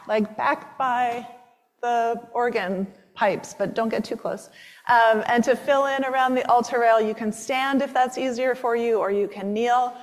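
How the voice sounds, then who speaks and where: Woman priest, fairly high voice, chatty announcements, ringing church reverb. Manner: chatty, self-interrupting with fillers. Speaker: priest leading the service. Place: church sanctuary. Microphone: microphone.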